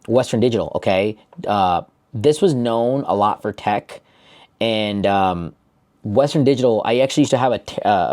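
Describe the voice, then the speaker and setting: A man's voice: fairly low pitch, darkish tone. A man talking off the cuff as a stock trading YouTuber, coaching listeners directly and repeating words for emphasis. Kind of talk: unscripted market commentary to camera.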